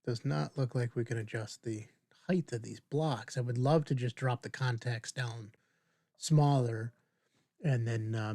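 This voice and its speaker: A man's voice: mid-range voice, no echo. Middle-aged man, dry close-miked tutorial voice. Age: 50s. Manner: informal, thinking aloud while demonstrating. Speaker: video tutorial host. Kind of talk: casual screen-share software tutorial.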